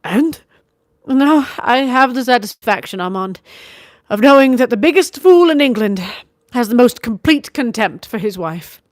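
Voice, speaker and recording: A woman's voice: sits high, moderate pace, dry recording, pitched above the performer's own voice, natural voice. A woman voicing a passionate young noblewoman who pleads and turns bitter, in a dry audiobook reading. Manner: vehement, pleading, near tears.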